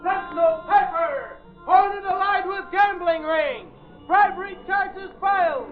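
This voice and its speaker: A man's voice: very high voice, measured pace, faint music behind. A man playing a young newsboy hawking papers in sing-out calls in an old radio drama. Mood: hawking, excited. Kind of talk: 1930s-40s radio drama acting. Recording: echoey, muffled old radio recording.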